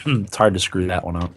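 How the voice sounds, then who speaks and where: A man with a raspy, hoarse voice that is recovering, chatting casually and a bit haltingly as a podcast host. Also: deep voice. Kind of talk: casual chat.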